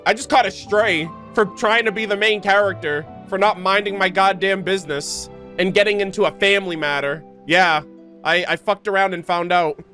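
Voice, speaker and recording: Higher-pitched man reacting to a game. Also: moderate pace, sharp tone. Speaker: gaming video host. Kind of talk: casual reaction commentary over gameplay. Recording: game music behind the voice.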